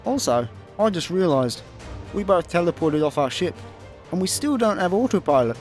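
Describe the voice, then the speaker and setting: A fretful Scottish slacker played by a man in a sci-fi radio comedy, with clear music behind him. His voice sits high, and he comes across as hapless and literal-minded. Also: very animated, normal speed, darkish tone. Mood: dry worry. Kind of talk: comic sci-fi radio play acting.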